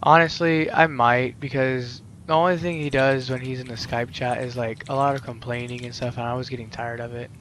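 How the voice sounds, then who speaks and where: A man's voice: medium pitch, brisk, hardly any pauses, slight background noise. A young man hosting a Minecraft let's play, chatting casually with friends over a voice call in a rambling, filler-heavy way. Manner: rambling, filler-heavy, animated gamer talk.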